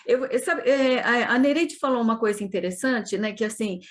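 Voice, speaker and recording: A woman's voice: on the high side, normal speed. A woman from Brazil who teaches Portuguese to children, explaining eagerly with many restarts in a casual panel talk. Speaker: heritage Portuguese teacher in Japan.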